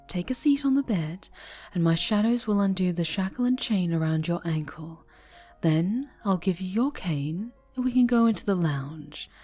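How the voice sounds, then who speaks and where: Slow, breathy, sinister superheroine, acted by a woman. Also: on the low side. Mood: adoring. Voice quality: soft, breathy, often whispered. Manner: slow, tender, coaxing, quietly menacing. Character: possessive, sinister female superhero, a sweetly menacing obsessive captor. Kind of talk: ASMR roleplay acting.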